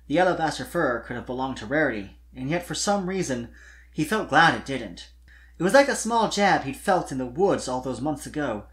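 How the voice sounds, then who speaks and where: Expressive storyteller with very lively intonation. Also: medium pitch. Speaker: fan fiction narrator.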